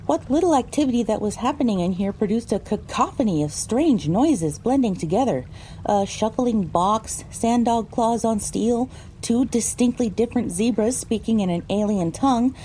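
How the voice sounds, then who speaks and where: A woman's voice: fairly high pitch, dark tone, slight background noise, close to an everyday voice, rough recording. A woman voicing a pony who narrates her own story, reading scripted first-person audio drama narration. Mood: wry.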